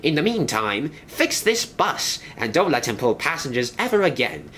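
A man's voice: mid-range voice, very animated, brisk, fairly bright tone, slight background noise. A man voicing a pompous, stern male railway inspector who scolds in a haughty tone.

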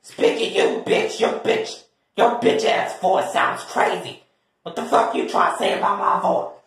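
A man voicing a brash, combative woman with a rough, raspy voice in a comedy skit. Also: sits high, steady tone, unhurried, a bit bright, full character voice. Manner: brash, foul-mouthed, defensive. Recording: very echoey, reverberant room.

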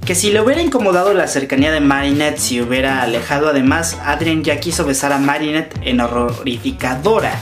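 A man in his twenties with a Mexican accent, chatting casually as a YouTuber commenting for fans and reading comments aloud. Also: a little high. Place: small room indoors. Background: background music.

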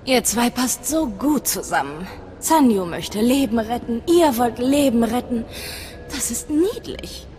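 A woman's voice: a little high, expressive, measured pace, a little mellow, faint music behind. An actress playing a mocking, sardonic female companion with a dangerous streak in a video game. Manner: mocking, teasing. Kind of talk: dramatic video game acting.

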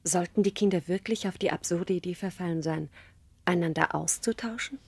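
A woman's voice: on the low side, a little fast, gravelly, darkish tone, breathy edge, no echo. An actress playing a prim female schoolteacher in a radio play, formal and precise in her speech. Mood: incredulous. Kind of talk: German radio play acting.

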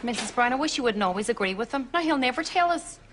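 Actress exasperated young mum, high lively voice, sitcom, faint studio noise. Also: female voice, quick pace, natural voice. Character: exasperated young mother and daughter-in-law. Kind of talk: sitcom acting.

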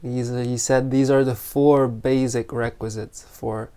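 This is a meditation teacher of unclear sex with a soft-edged, mellow voice. The delivery is very slow and even, with long pauses between short phrases. It sounds like gentle, unscripted guidance for a meditation group. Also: medium pitch, clean tone. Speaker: meditation teacher leading an online retreat. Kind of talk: guided meditation, gentle spontaneous talk.

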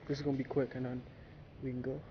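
A man's voice: mid-range voice, level tone, quick pace. A man exploring a derelict building with a handheld camera, muttering casual, profane remarks as he goes. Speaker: urban explorer filming. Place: inside a derelict industrial building. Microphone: handheld or body-worn action camera. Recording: muffled, very noisy action-camera audio.

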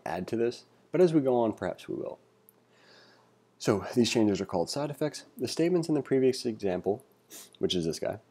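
A man giving a read-along coding tutorial, reading aloud and thinking out loud with informal asides. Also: fairly low pitch. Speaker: instructor.

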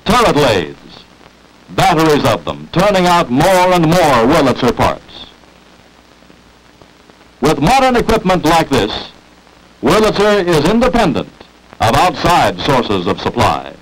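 An American man reading scripted narration for a 1940s promotional industrial film, with emphatic, salesmanlike, deliberate phrasing, on an old film soundtrack. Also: a little high, huge pitch swings, rough recording.

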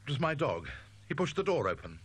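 A male narrator voicing an excitable young male scholar who talks in a nervous, stammering, evasive way. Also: mid-range voice, brisk, husky, no room sound, pitched above the performer's own voice. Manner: stammering, jumpy, defensive.

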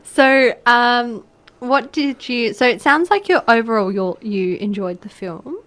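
A woman's voice: a little high, lively intonation. A woman with an Australian accent on a radio chat show, asking questions in a chatty way with many fillers and restarts. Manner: chatty, lots of fillers and restarts, quick to laugh. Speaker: guest interviewer asking questions. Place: community radio studio.

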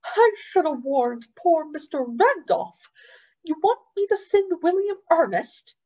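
A woman's voice: very high-pitched, lively intonation, slow pace, mellow, pitched above the performer's own voice, heavily acted. A woman reading aloud, voicing a bossy, snappish foster mother who scolds sharply. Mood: scolding.

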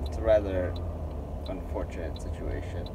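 A man's voice: low voice, moderate pace, heavy background noise. A young man talking casually to the camera in a rambling, slangy vlog style, saying "like" often. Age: young adult. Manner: rambling, loose and slangy, with frequent "like". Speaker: vlogger. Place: parked car, later small store fitting room. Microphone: camera or phone at arm's length.

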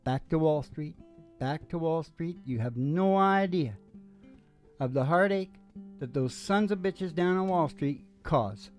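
A man's voice: on the high side, animated, measured pace, a little mellow. A middle-aged man chatting casually and emphatically, repeating phrases and checking in with "you know.". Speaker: host and singer-guitarist of a music podcast. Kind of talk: rambling casual chat. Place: small curtained indoor stage. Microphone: stand microphone, close. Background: acoustic guitar.

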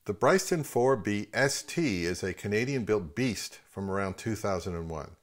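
A man's voice: on the low side, expressive, normal speed. A man in his fifties giving scripted commentary on products as the host of a review channel.

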